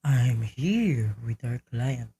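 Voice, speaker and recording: Man playing a slightly husky criminal go-between. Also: medium pitch, expressive, very slow, dark tone, heavily acted. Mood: businesslike, secretive. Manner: businesslike, instructing. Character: methodical criminal go-between giving orders. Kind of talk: radio play acting.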